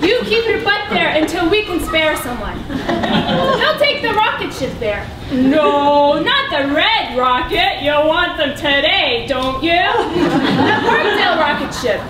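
A woman's voice: very high-pitched, animated, exaggerated voice. A woman playing a sassy, exasperated library office clerk in a live comic puppet show, theatrical and given to sighs. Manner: theatrical, exasperated, sighing, drawn-out delivery. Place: indoor puppet theatre, filmed from the audience. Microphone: far camera. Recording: echoey noisy hall recorded from far off.

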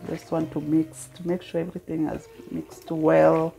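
Lower-voiced woman talking through a recipe. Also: level tone, normal speed, creaky, mellow, faint music behind. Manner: talks step by step through each action. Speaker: cooking channel host. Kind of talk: casual cooking demonstration.

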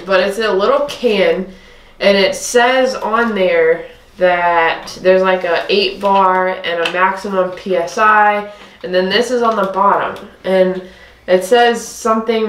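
Hesitant woman chatting in an echoey room. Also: mid-range voice. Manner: hesitant, with many ums and "I don't know". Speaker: co-host reselling storage unit finds. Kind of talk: casual chat. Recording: echoey room with faint noise.

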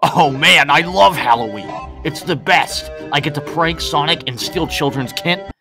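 A man's voice: on the high side, moderate pace, over music, natural voice. A man voicing a mischievous, gloating mad scientist villain in a fan cartoon, in a theatrical way. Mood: gleeful. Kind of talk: fan-film cartoon acting.

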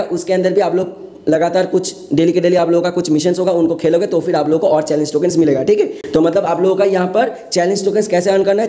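Fast-talking young Indian man, mellow higher voice. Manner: repetitive, filler-heavy explaining, mixing in English words. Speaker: gaming YouTuber, host. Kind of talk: casual gaming explainer voice-over.

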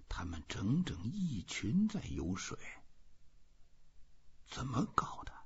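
Husky, very low-voiced man reading a novel. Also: darkish tone, highs cut off. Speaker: audiobook reader. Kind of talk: scripted narration of a literary novel.

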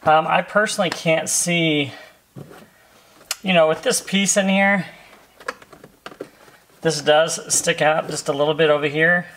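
A man's voice: on the high side, steady tone, measured pace, pauses often, a bit bright. A middle-aged American man giving a casual, easygoing hands-on tutorial, talking as he works inside a parked car. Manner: easygoing, talking while working with his hands. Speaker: host of a car accessory install video. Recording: faint background noise and clicks.

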